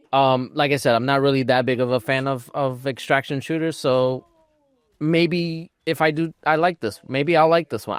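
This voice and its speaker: A man co-hosting a podcast in a casual chat, giving measured, hedged opinions. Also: mid-range voice, smooth, no echo, studio quality. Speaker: podcast co-host.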